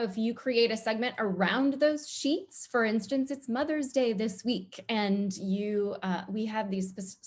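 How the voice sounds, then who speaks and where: Woman on a panel, medium pitch, chatty, explaining how she likes things done. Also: darkish tone. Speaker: panelist, TV news producer. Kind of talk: casual panel discussion.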